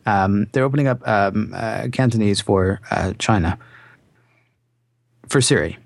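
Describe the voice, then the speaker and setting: A man's voice: lowish voice, unhurried, gravelly, no room sound. A man hosting a tech podcast, asking curious questions in a chatty way. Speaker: podcast host. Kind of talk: casual tech podcast chat.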